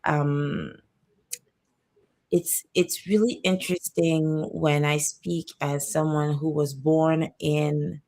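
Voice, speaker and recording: A woman from Cameroon who grew up in Canada talks on a video-call panel. Her voice sits very low and gravelly, with an even, narrow melody, and she picks her words reflectively. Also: slow pace. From born in Cameroon, raised in Canada. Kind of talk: panel discussion.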